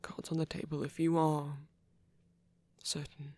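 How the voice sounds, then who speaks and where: A man voicing a gentle, protective vampire priest in an ASMR roleplay, speaking softly and breathily in halting, earnest, tender confession. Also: a little high, completely flat delivery, no echo. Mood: careful, earnest. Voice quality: breathy, whispery, soft. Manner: hesitant, halting, earnest delivery. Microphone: close microphone, dry sound.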